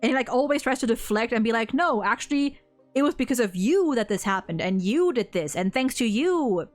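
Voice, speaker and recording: A woman with a fairly high voice talks through a game story as a fan and streamer. She is effusive and loose, swearing and repeating words as she goes. Soft music plays behind her. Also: lively intonation, brisk. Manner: effusive, swearing, stammering repetitions. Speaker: streamer co-hosting a video game story ranking. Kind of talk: casual animated fan discussion.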